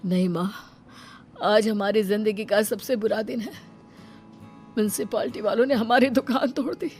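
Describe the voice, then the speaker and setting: A woman's voice: mid-range voice, fairly even intonation, moderate pace, darkish tone, soft background music, natural voice. A woman voicing an earnest, exasperated young husband in a cartoon story, speaking in a plaintive, emphatic way. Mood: dejected.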